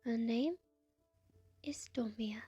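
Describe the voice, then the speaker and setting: A woman plays a gentle female spirit of light in an ASMR fantasy story. The voice is fairly high, soft and breathy, half-whispered and solemn. Words come slowly, with music playing behind. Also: dry recording, heavily acted. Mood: grave, wary. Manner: slow, measured, hushed delivery.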